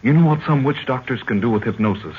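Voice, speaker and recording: An actor playing an anxious, deferential young expedition assistant in an old radio drama, earnest and urgent. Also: male voice, mid-range voice, lively intonation, fast talker, breathy edge. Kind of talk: 1940s radio acting. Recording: muffled, noisy old radio recording.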